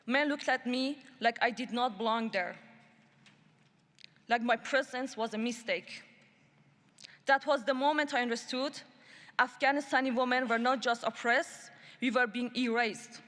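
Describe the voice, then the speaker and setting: A woman from Iran giving a prepared speech at a human rights summit. She speaks in a deliberate, measured and emphatic way. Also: fairly high pitch, a bit bright. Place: large conference hall. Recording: long hall reverb.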